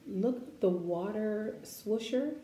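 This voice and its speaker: A woman reading a picture book aloud for children's story time, expressive and deliberate, with casual asides and questions to young listeners. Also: mid-range voice. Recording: roomy echo, faint background noise.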